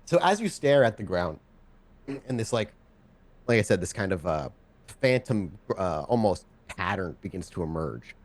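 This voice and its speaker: A man working as game master, narrating a tabletop game off the cuff and often pausing to search for words. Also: deep voice, very animated.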